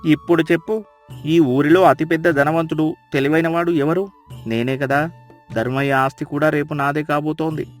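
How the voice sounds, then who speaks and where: A man's voice: a little high, steady tone, moderate pace, faint music behind, close to an everyday voice. A man playing a vain, scheming village merchant in a storybook tale, speaking in an emphatic, declamatory way. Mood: boastful. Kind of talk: dramatised storybook dialogue.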